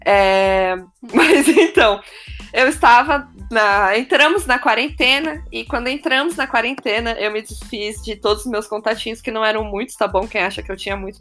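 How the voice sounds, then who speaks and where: A woman's voice: medium pitch, a bit bright. A young woman with a Brazilian accent, chatting casually and jokingly as a talkative podcast co-host. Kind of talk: casual group chat.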